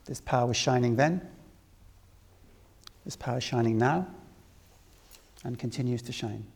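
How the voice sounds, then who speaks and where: A man from Wales giving a spiritual discourse to a live audience as the teacher, speaking deliberately with repeated phrases and rhetorical questions. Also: mid-range voice, vocal fry, darkish tone, breathy edge. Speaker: spiritual teacher leading a talk. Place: reverberant room with an audience. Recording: long hall reverb.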